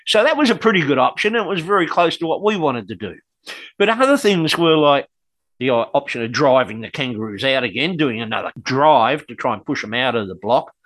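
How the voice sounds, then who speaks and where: A man's voice: a little high, fairly bright tone, dry recording. An Australian man co-hosting a casual radio chat, rambling and joking as he talks. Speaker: co-host.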